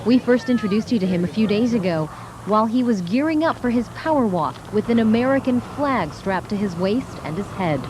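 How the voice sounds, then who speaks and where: A woman's voice: fairly high pitch, animated, a little fast. Woman news reporter out on the street. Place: outdoors at a city street event. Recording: outdoor street noise.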